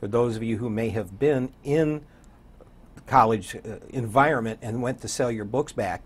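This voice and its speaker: An older man around seventy, a radio DJ chatting as an interview guest in a folksy, wry way with a husky voice. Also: mid-range voice, normal speed. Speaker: guest, radio program director and DJ. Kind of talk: casual interview chat. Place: TV studio set, seated.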